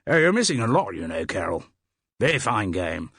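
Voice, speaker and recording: A man's voice: on the low side, big pitch swings, normal speed, fairly bright tone, slightly rough, no room sound, studio quality, heavily acted. A man voicing a jovial, blustering man of about sixty with a hearty English public school accent, in an audiobook. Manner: jovial, blustering, chummy tag questions. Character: chubby sixtyish man, hearty, bluff, guffawing cricket enthusiast.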